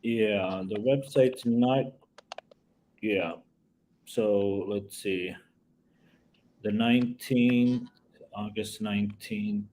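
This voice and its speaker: A man's voice: fairly low pitch, fairly even intonation, drawn-out words, pauses often, mellow, a little hiss or hum. Rambling male panel host. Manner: rambling, many ums and restarts. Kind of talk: casual panel chat.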